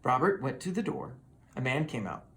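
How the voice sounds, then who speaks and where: A person reading a children's story aloud in an expressive, dramatic manner. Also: medium pitch, normal speed, a little hiss or hum. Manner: expressive, with dramatic sound words. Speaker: storybook reader.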